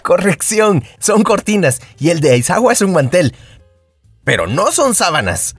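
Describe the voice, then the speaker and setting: A man playing an excitable, scheming, upbeat teenage hero student in a comic fandub, eager and wheedling. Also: high voice, unhurried, breathy edge, soft background music, exaggerated voice. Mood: confident.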